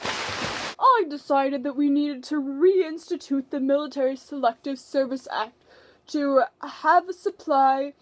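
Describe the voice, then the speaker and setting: A woman's voice: high voice, a little mellow, low-quality recording. A woman playing an earnest male president in a scripted puppet show. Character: President Carter puppet, earnest male politician explaining his proposal.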